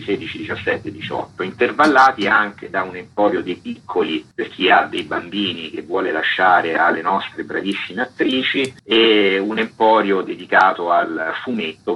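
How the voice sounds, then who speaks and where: A man's voice: deep voice, bright, forceful tone, a little hiss or hum, rough recording. A man speaking as a festival's artistic director, explaining things as a guest in a radio interview.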